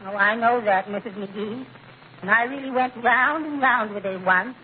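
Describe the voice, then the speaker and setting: Man acting a meek, high-voiced little husband on old radio. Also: moderate pace, narrow, tinny audio, lightly acted. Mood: meek, mournful. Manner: timid, mournful, mild, polite. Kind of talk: 1940s radio comedy acting. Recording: thin, hissy old radio broadcast.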